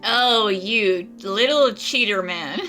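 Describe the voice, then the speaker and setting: A woman streamer commenting casually on a game. Her voice is bright and crisp-edged at a medium pitch. She is wry, slangy and teasing, with faint music underneath. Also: slow pace. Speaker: gaming streamer.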